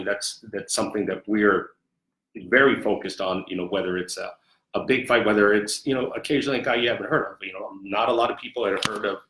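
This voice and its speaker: A man answering interview questions as a sports executive, conversational and measured, often repeating his phrases in a roomy space. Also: lowish voice, a bit bright. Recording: echoey, roomy sound.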